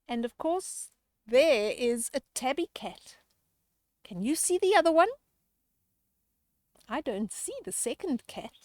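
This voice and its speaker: A woman reads a bedtime picture book to children in a fairly high voice. She goes very slowly, leaning on words expressively and chatting to the listener, and the sound is close and dry. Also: dry recording. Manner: expressive, drawn-out emphasis, chatty questions to the listener. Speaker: children's storyteller.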